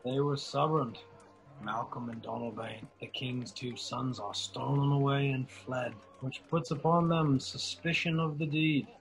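A man's voice: mid-range voice, steady tone, measured pace, faint music behind, lightly acted, rough recording. A man acting as a grave, wary nobleman in an amateur radio drama.

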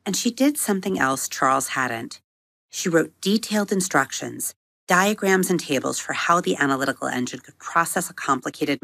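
A woman narrating a children's bedtime story, expressive, slowing and softening her delivery on vivid words. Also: low-pitched, no room sound. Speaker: storybook narrator and episode host. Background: soft music under the reading.

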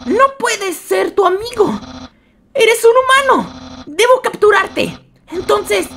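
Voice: very high voice, a bit bright, slight background noise, heavily acted. A woman voicing a boastful, childish skeleton in a video game fan dub, pompous and theatrical. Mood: dramatic, resolute. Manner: pompous, exclamatory, dramatic. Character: boastful, childish skeleton wanting to join the guard.

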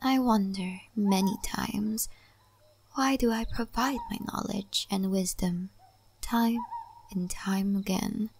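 A woman playing a calm, wise, mysterious guide of a spirit forest in an ASMR roleplay, speaking gently and softly, often in a breathy whisper, with pauses. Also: mid-range voice, noisy background. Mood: calm, reflective. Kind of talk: soft-spoken, half-whispered ASMR roleplay.

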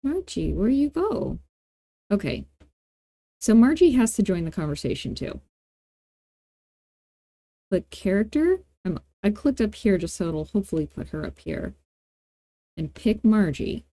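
Female tutorial host, informal and playful, soft-edged mellow tone, dry close-miked sound. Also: medium pitch, sing-song, measured pace, long pauses, no echo. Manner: informal, playful, thinking aloud. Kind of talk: casual screen-recorded software tutorial.

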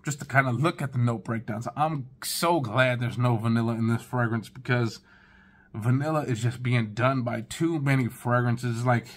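Man, 30s, casual review vlog, chatty and laid-back, mid pitch, living room, close camera. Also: fairly even intonation, normal speed. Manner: laid-back, chatty, talks straight to viewers. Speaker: fragrance reviewer. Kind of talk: casual first-impressions review.

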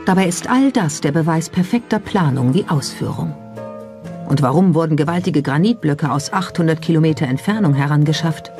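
A narrator reading a scripted documentary in a measured storytelling style. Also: mid-range voice, lively intonation, darkish tone, soft background music.

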